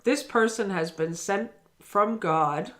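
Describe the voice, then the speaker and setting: A woman's voice: medium pitch, slow pace. Woman, spiritual teacher, earnest and encouraging, talking straight to camera. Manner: earnest and encouraging, speaks to the listener directly. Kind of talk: daily spiritual lesson talk.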